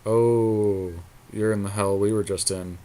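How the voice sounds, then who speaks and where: Male gaming podcast co-host, fairly low pitch, conversational, thinks aloud while commentating play. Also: fairly even intonation, a little slow, mellow. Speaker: podcast co-host commenting on a card game match.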